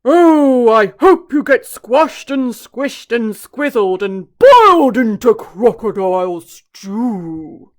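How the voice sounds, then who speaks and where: A woman voicing a big, angry elephant in a children's story, bellowing slowly. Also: expressive. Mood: furious. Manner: booming, slow, bellowing.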